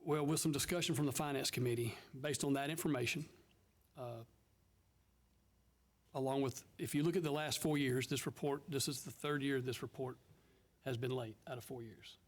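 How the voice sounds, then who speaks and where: A man's voice: medium pitch, steady tone, moderate pace. Man giving a step-by-step committee report. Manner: methodical, explains step by step. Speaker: council member. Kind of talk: committee report at a council meeting.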